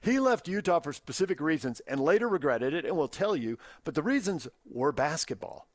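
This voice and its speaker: A man's voice: fairly high pitch, sing-song, a little fast, dry recording. An American man co-hosting a sports radio show, talking in a casual, discursive, conversational way. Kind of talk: casual sports talk commentary.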